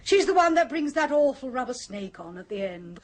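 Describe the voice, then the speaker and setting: A woman's voice: high voice, very animated, normal speed. An actress playing a flamboyant, theatrical middle-aged woman who teases her sister and a visitor in a radio play. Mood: hammy. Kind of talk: 1970s radio drama acting.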